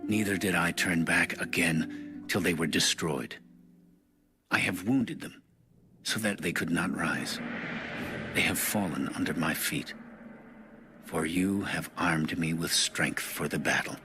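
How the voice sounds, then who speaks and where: A low-pitched man narrates scripture with a measured, solemn delivery. Music plays clearly behind his scripted reading. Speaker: audio Bible narrator.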